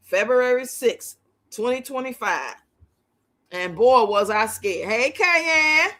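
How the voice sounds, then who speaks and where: Woman, late fifties, livestream host: high bright voice, blunt, colloquial, chatty. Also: expressive, takes it slowly. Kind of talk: casual livestream chat with viewers.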